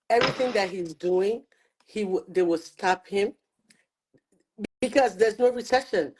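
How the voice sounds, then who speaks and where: A woman talking earnestly on a show, arguing her view in rapid bursts. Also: mid-range voice, low-quality recording. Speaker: guest commentator. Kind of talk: opinionated chat.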